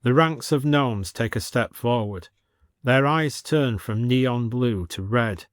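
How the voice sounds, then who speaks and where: A British man narrates a scripted story. His voice is medium in pitch and recorded very dry, with no room around it. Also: slow pace, dry recording.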